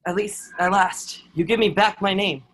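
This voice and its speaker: High-voiced man acting a proud, dutiful soldier. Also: moderate pace, a bit bright, a little hiss or hum, poor audio. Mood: stern. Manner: earnest, formal archaic phrasing. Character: Burgundian soldier, Joan's captor, proud dutiful lackey, secretly awed. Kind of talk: table-read stage acting of historical drama.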